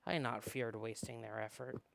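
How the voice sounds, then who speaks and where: A man's voice: mid-range voice, fairly even intonation, brisk, gravelly, a bit bright. A man reading visual novel narration aloud in a dramatic storytelling style.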